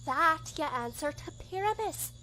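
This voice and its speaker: Woman as an exasperated, high-voiced play director. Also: lively intonation, measured pace, pushed and bright, slight background noise, full character voice, rough recording. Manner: emphatic, instructing. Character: exasperated, bossy amateur play director correcting a hapless actor. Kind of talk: Shakespearean comedy radio play.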